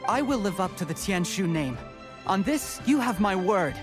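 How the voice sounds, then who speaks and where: A man's voice: high voice, expressive, moderate pace. A man voicing a polite, composed young official in a game, speaking in a courteous, formal way. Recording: game audio with music behind.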